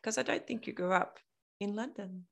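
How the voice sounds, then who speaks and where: A woman hosting a running podcast, chatting in a bubbly, animated way and laughing often. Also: medium pitch, moderate pace, gravelly. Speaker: podcast host. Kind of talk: casual interview chat.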